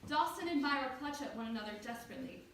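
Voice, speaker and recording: A woman's voice: high-pitched, animated, very fast, a bit bright. A woman narrating scripted stage directions at a play reading. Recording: very echoey room, distant mic, noisy.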